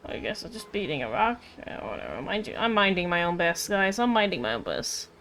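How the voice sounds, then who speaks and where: A woman's voice: lowish voice, very animated, quick pace, a bit bright. A woman doing casual live commentary on a game, chatty, playful and rambling. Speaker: game livestreamer. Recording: livestream with game music behind. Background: game music.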